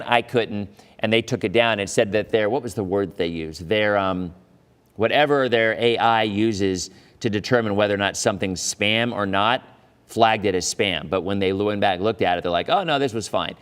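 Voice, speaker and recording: Chatty middle-aged weatherman, reverberant room. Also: on the low side. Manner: chatty, laughs often, rambling asides. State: losing his voice. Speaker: TV weather forecaster hosting a live stream. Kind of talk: casual live-stream chat and forecast.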